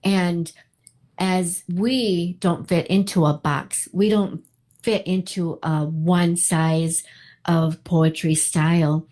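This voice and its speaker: A woman giving a reflective interview, speaking earnestly and deliberately, often repeating her phrasing for weight. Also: fairly low pitch, steady tone, darkish tone. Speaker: poetry group co-founder being interviewed.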